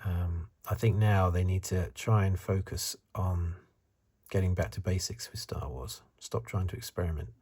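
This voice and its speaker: A man speaks very softly in a low, strongly breathy voice with a dark, mellow tone, sometimes dropping toward a whisper. He muses haltingly through his opinions as an ASMR commentator, close to the mic. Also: steady tone. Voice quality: soft, breathy, husky, partly whispered. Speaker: host of an ASMR review channel.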